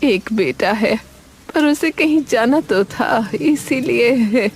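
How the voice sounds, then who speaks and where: A woman voicing an anxious, devoted elderly village mother in an animated story, hesitant and pleading. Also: fairly high pitch, takes it slowly. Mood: humble, tender. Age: older. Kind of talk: Hindi animated story dialogue. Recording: music under the voice.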